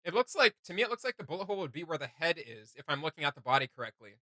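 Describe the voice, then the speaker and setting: A man co-hosting a casual reaction video, animated and jokey. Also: on the high side, racing, no echo.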